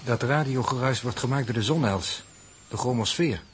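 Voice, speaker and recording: Man playing analytical space scientist, mid-range voice, measured explanatory delivery, slight hiss, vintage 1960s radio drama. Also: medium pitch, lightly acted. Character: sober, analytical male scientist aboard a spaceship. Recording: old noisy 1960s radio recording.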